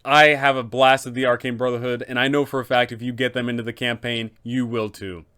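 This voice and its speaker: A man's voice: medium pitch, little pitch movement, brisk, pushed and bright, clean tone. A man hosting an explainer for game masters, speaking in an emphatic, enthusiastic way. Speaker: host, game master giving advice. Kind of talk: semi-scripted explainer on tabletop roleplaying.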